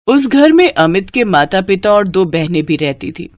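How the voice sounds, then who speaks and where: A woman's voice: mid-range voice, big pitch swings, moderate pace, no room sound, clean studio sound. A woman narrating a scripted children's moral story as storyteller.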